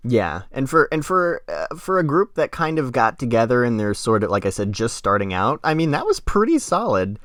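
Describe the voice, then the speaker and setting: A man's voice: medium pitch, very animated, moderate pace, hardly any pauses, a bit bright, dry recording, studio quality. A man co-hosting a podcast, casually giving his critique and often rephrasing his points as he goes. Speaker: podcast co-host and music critic. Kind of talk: casual discussion.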